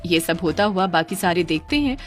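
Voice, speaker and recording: A woman's voice: lowish voice, expressive, a little fast, faint music behind. A woman narrating a scripted children's story as a storyteller.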